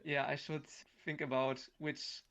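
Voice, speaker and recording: Slow, hesitant non-native man, creaky voice. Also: fairly high pitch, level tone, fairly bright tone, muffled recording. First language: not English. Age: 30s. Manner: hesitant, halting, searching for words. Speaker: voice actor guest. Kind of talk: casual interview chat.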